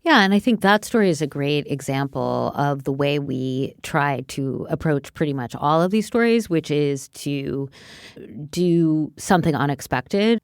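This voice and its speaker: A woman with a deep-set, smooth and clear voice. She co-hosts a podcast in a conversational, opinionated style, with a very dry studio sound. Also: no echo. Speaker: podcast co-host. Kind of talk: casual, opinionated podcast discussion.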